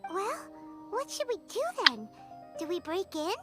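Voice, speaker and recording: A performer voicing a small, blunt game companion character with a high, childlike voice, speaking over game music. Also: very animated, measured pace, heavily acted. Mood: eager. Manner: eager and blunt.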